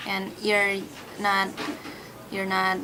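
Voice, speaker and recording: A woman's voice: mid-range voice, completely flat delivery, extremely slow. A woman from Indonesia being interviewed, speaking hesitantly and repeating words. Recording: clear ambient noise behind.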